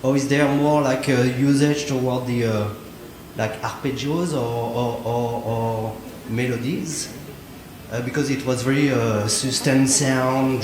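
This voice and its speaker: A man from France stands in the audience and asks his question, hesitating and filling the gaps with uhs. The sound echoes through a reverberant hall, with a faint hiss in the background. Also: medium pitch. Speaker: audience member asking a question. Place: event hall.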